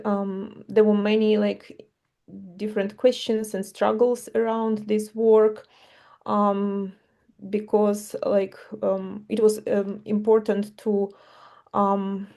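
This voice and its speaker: A woman introducing herself on a panel over a video call. She talks haltingly and often searches for words. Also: mid-range voice, creaky, warm tone. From Ukraine. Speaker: panelist, visual artist.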